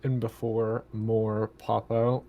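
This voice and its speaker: A man's voice: lowish voice, level tone, takes it slowly, mellow. A man playing a tabletop game, chatting casually and joking with the group.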